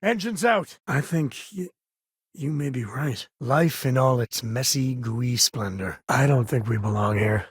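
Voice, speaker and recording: Wry American space ranger, very husky gravelly voice, folksy drawl, easygoing, middle-aged, video game dialogue, close dry recording. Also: male voice, mid-range voice, measured pace, no room sound. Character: easygoing, wry male space explorer and ex-ranger, loyal father. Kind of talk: video game voice acting, naturalistic dialogue lines.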